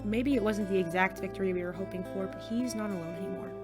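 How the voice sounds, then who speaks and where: A woman's voice: medium pitch, quick pace, loud music behind the voice, natural voice. A woman playing a cynical, nerdy teenage secret superhero in an understated, naturalistic audio drama style. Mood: wistful, reflective. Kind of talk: naturalistic audio drama acting with first-person narration.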